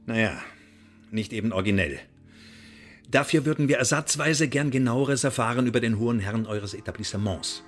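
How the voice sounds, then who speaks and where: An actor playing a menacing, mock-polite secret-service interrogator in a radio drama, articulate and sardonic. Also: male voice, mid-range voice, normal speed, natural voice. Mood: threatening, demanding.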